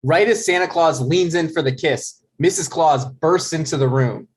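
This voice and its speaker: A man narrates a script's stage directions. His voice sits a little high as he reads. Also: normal speed.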